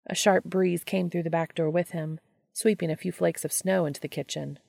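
Very creaky, low-voiced woman narrating a story. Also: steady tone, moderate pace, mellow, no echo, clean studio sound. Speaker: audiobook reader of fan fiction.

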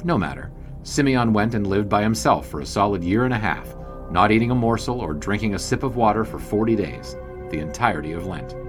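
An actor playing a wry 1920s sportswriter, narrating an audio drama in a wordy, grandiose storytelling manner. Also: male voice, lowish voice, lively intonation, brisk, music in the background, natural voice. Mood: measured, storytelling.

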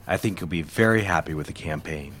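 An actor playing a controlling, smooth advertising boss in a period office drama, speaking in a measured, insistent and commanding way. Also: male voice, a bass voice, fairly bright tone, a little hiss or hum. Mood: businesslike, brisk. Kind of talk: period audio drama acting.

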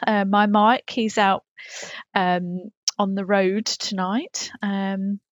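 A woman's voice: mid-range voice, little pitch movement, slow, no room sound. A woman co-hosting a casual comedic podcast over a remote call, speaking in a hesitant, matter-of-fact, tactful way. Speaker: podcast co-host. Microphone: remote call from separate location.

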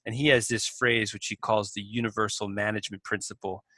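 A man answering interview questions in a measured, thoughtful way, with small restarts. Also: on the low side, steady tone, pushed and bright, dry recording. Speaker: podcast guest.

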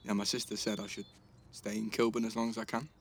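Husky, easygoing teenage lad. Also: male voice, on the low side, little pitch movement, fast talker. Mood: warm, affectionate. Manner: casual, slangy, teasing. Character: easygoing teenage lad, teasing, affectionate college student. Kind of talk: naturalistic audio drama dialogue.

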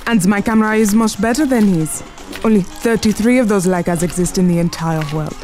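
A woman playing a proud, sharp-tongued immigrant businesswoman with a commanding, haughty manner in a period radio drama. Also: mid-range voice, brisk, soft background music, natural voice. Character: imperious, proud immigrant Harlem numbers queen, sharp-tongued.

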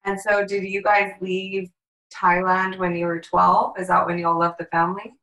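A woman's voice: fairly low pitch, flat delivery, normal speed, pushed and bright, low-quality recording. A woman who grew up in Latin America, hosting a casual interview and asking direct, curious questions. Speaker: podcast host.

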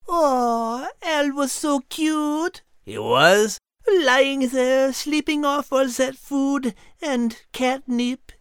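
High-voiced, refined French cat. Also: female voice, animated, extremely slow, dry recording, studio quality, full character voice. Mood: fond. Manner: prim, hesitant, carefully worded. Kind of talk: children's audio story character acting.

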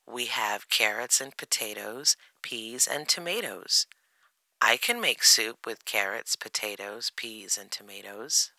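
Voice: medium pitch, lively intonation, unhurried, bright, forceful tone, dry recording, full character voice. A narrator voicing a cheerful, eager little boy bear cub in a children's storybook. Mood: eager, planning. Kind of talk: children's storybook character reading.

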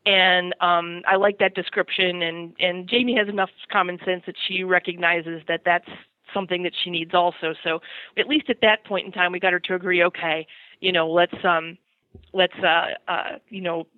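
A woman's voice: fairly low pitch, little pitch movement, normal speed, dry recording, phone-quality sound. A middle-aged woman telling personal stories casually on a podcast, candid and self-deprecating, over thin call audio. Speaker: podcast segment host.

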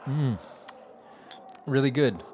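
A man playing a polite, naive documentary interviewer in a comedy audio drama, hesitant and deadpan. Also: mid-range voice, slow pace, slight background noise, natural voice, poor audio.

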